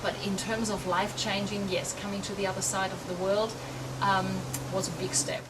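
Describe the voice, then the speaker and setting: A middle-aged woman from Europe, a riding coach, answering interview questions in a relaxed, reflective way with many ums and restarts. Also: mid-range voice, normal speed. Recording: distant mic, loud outdoor background noise.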